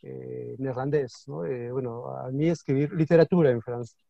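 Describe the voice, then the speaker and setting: A man's voice: medium pitch, fairly even intonation, moderate pace, creaky, dark tone, dry recording, muffled recording. A man musing in a panel discussion over a video call, tossing questions to the others. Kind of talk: casual discussion.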